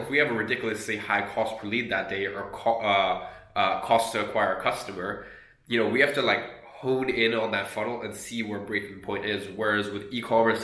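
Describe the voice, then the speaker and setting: A man's voice: lowish voice, bright, forceful tone. A young man with a cold and a nasal voice, talking casually to camera about his agency with lots of fillers and restarts. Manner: conversational, many fillers and restarts. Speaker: agency owner and online business educator. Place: room at a desk with an editing screen. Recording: echoey room.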